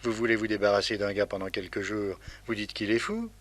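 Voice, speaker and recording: A man's voice: lowish voice, level tone, a little fast, a bit bright. A man playing a streetwise detective sidekick, musing colloquially to himself in a 1960s radio serial. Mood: musing, knowing. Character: streetwise male detective sidekick thinking aloud.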